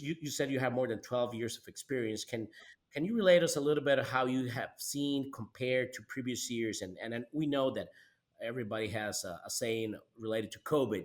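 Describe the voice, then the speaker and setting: Costa Rican man hosting, halting delivery. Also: mid-range voice. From Costa Rica. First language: Spanish. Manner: halting, repeats words and restarts often. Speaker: podcast host. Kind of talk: conversational podcast interviewing.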